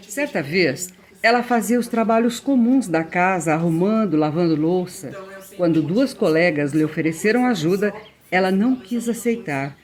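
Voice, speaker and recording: A Brazilian woman narrating a documentary calmly and asking short interview questions. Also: mid-range voice.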